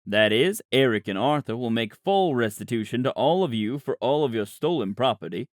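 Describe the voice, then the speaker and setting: A man voicing an earnest father in an audiobook, speaking in a measured, official way. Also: medium pitch, lively intonation, no echo, studio quality, natural voice. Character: earnest father pleading a restitution plan. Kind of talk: audiobook character voice.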